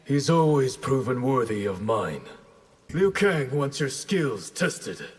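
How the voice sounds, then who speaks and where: An actor playing a stern, honourable ninja warrior, speaking in a measured, formal way in a video game. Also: male voice, medium pitch, very animated, exaggerated voice. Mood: stern, solemn. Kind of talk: video game fight banter. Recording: clear background noise.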